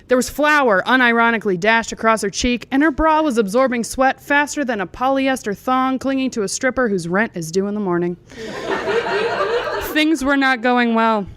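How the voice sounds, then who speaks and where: A woman's voice: on the high side, a bit bright. A drunk woman reading a bawdy comic story aloud as a storyteller.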